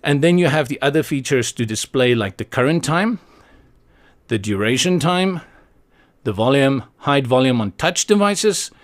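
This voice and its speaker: A man hosting a software tutorial, explaining step by step in a conversational way with casual asides. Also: medium pitch, expressive, normal speed. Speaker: tutorial host.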